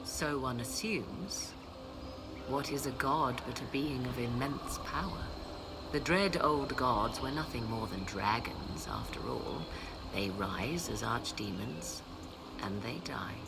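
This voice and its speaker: An actress playing a poised, learned and haughty sorceress in a video game, speaking in a measured, formal, theatrical way. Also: female voice, very deep voice, lively intonation, creaky, heavy background noise, heavily acted. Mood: assured, skeptical. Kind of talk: video game dialogue acting. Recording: game music behind the voice.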